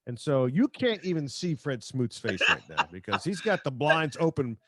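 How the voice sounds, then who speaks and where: A man's voice: medium pitch, very animated, normal speed, fairly bright tone. A man hosting a podcast in casual, slangy sports chat over a remote video call. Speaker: podcast host.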